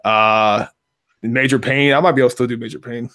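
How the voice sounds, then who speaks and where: Hoarse, slangy podcast man. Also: medium pitch, fairly even intonation, fairly quick, sharp tone. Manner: loose, slangy, rambling, laughs between lines. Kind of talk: casual podcast chat.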